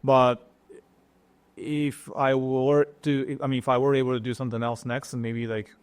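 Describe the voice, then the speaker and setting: A middle-aged man from Japan with a Japanese accent, a chef talking as a guest in an interview, pausing often and restarting his sentences. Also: medium pitch, flat delivery, clear, resonant tone, dry recording. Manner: halting, many fillers and restarts. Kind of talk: casual interview talk.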